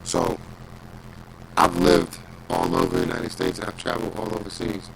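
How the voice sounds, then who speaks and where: A man from New York with a raspy voice and a New York accent, a hip hop artist talking in a laid-back, confident way on a radio interview. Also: extremely low pitch, sing-song, normal speed, poor audio. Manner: laid-back, confident, lots of "you know what I'm saying".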